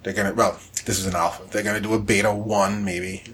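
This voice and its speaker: A man's voice: on the low side, level tone, fast talker, fairly bright tone, breathy edge. A man co-hosting a podcast, talking casually about video games in a conversational way. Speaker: podcast co-host. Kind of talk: casual video game discussion.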